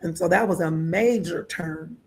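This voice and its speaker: A woman's voice: fairly low pitch, a little slow, darkish tone. A woman in her sixties hosting an interview show, chatty and laughing often. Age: 60s. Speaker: host. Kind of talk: casual interview talk.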